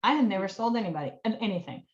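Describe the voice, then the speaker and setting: A woman in her forties from Colombia with a Colombian accent, a guest telling her story in an animated, self-mocking way over a video call. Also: medium pitch, rapid-fire, dull audio. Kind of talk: storytelling in a casual online chat.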